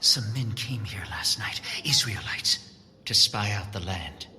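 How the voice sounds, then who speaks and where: Furtive informant, low pitch, very sharp tone, hushed, urgent, audio scripture drama. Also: male voice, lively intonation, measured pace, full character voice. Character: secretive informant reporting to the king.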